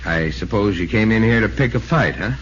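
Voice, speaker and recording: A man's voice: fairly low pitch, expressive, brisk, fairly bright tone. An American man playing a big-hearted, blustery baseball star in a 1940s radio drama. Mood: genial, cocky. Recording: dull, hissy old radio recording.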